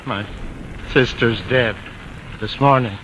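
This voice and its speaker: A man's voice: mid-range voice, takes it slowly, fairly bright tone. An actor playing a frail older man, grief-stricken and vengeful, in an anguished, melodramatic old radio suspense style. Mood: numb grief. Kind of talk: 1940s radio suspense drama acting. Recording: old radio recording, noisy.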